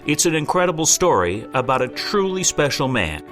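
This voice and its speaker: A man's voice: on the high side, sing-song, brisk, fairly bright tone. A man announcing a podcast in a polished, scripted delivery. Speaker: show announcer. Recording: guitar music bed behind.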